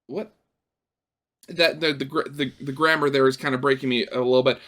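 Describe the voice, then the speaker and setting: A man's voice: mid-range voice, steady tone, a little fast, a bit bright. A young man hosting a reaction video, chatting casually and rambling with lots of fillers and restarts.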